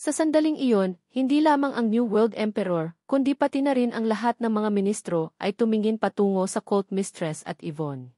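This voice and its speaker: A woman narrates a scripted story in a medium-pitched voice. The recording is very dry, with no room around the words. She reads as an audiobook narrator. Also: moderate pace, warm tone, dry recording.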